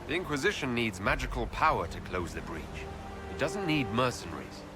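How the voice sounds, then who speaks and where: An actor playing a stern, questioning leader in a fantasy video game, firm and skeptical. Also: male voice, mid-range voice, big pitch swings, fairly quick, over music, close to an everyday voice. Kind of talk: video game character dialogue.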